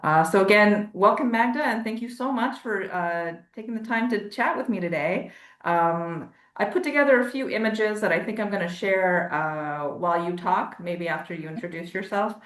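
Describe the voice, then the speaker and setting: A woman hosting an informal online artist interview as a museum curator, speaking in a hesitant, halting way. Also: mid-range voice. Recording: roomy, echoey sound.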